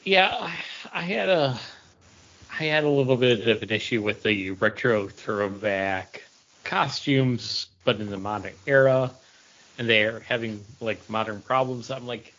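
A man's voice: medium pitch, a bit bright, lo-fi sound. A man co-hosting a podcast, casually debating and puzzling things out with a questioning delivery. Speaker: podcast co-host reviewing comics.

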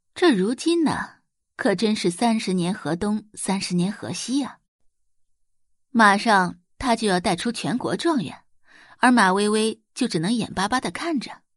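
Woman audiobook narrator for serial fiction; dramatised delivery, lively pitch movement, dry studio-like sound. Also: dry recording. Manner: expressive, dramatised storytelling. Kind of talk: scripted audiobook narration of a web novel.

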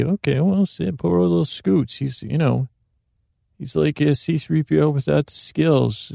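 A man with a heavily gravelly, mellow voice hosts a sleep podcast, wandering through tangents and poking fun at himself. He talks in a low-key murmur full of restarts, recorded on a close, dry mic. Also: no room sound. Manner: meandering and self-deprecating, with frequent restarts. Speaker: podcast host. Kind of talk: rambling sleep podcast monologue.

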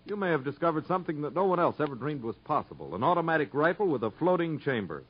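Fast-talking confident businessman. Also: a little high, big pitch swings, husky. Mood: impressed, eager. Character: brisk, enthusiastic arms company businessman, confident dealmaker. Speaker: actor in a radio drama. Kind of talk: 1950s radio drama acting. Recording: muffled 1950s radio broadcast.

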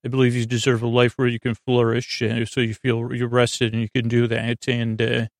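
A man hosts a sleep podcast in a creaky, mid-pitched voice with heavy fry. He rambles soothingly, halting and drifting into tangents as he goes. The sound is dry, with almost no room around the voice. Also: completely flat delivery, no echo. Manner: meandering, halting, digressive, lulling. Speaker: podcast host.

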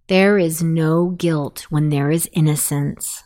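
A woman narrating a guided sleep meditation in a soothing, deliberate manner. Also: low-pitched, steady tone, mellow.

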